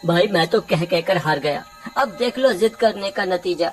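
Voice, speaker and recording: A man acting a high-voiced worried cartoon villager. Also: lively intonation, normal speed, music in the background. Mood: exasperated. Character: worried, exasperated village husbands and neighbours.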